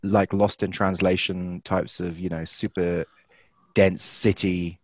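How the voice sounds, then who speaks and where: A man hosting a casual conversation, asking relaxed, curious questions. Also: sits low, slow pace, no room sound, clean studio sound.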